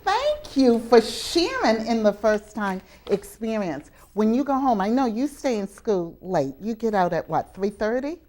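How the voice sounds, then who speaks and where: A woman hosting a live educational television show, speaking deliberately with drawn-out emphasis on words. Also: a little high, sing-song, darkish tone. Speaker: television show host and teacher. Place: live television taping at a community college.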